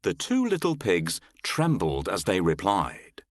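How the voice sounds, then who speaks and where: A man narrating a children's fairy tale in a measured, expressive storytelling style. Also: sits low.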